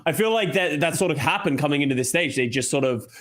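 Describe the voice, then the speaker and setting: A man's voice: on the high side, expressive, fast talker, a bit bright. A man in casual panel chat, giving analysis as a podcast co-host.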